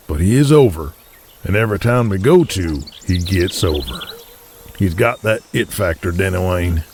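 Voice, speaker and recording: Low-voiced folksy Texan wrestling boss. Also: male voice, expressive, darkish tone, a little hiss or hum. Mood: keen, scheming. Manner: folksy, deliberate speechifying. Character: gruff older Texan wrestling promoter, shrewd and folksy. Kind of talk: audio drama acting.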